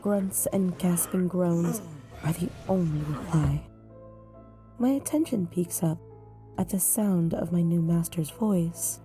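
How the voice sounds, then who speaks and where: A woman giving measured, brooding first-person narration in an audio drama. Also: lowish voice, warm tone. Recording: music bed behind.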